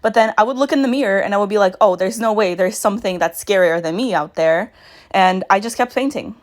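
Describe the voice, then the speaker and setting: Raspy-voiced woman chatting through a tutorial. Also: medium pitch, a little fast. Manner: chatty, storytelling asides. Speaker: makeup vlogger. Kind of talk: casual voice-over tutorial.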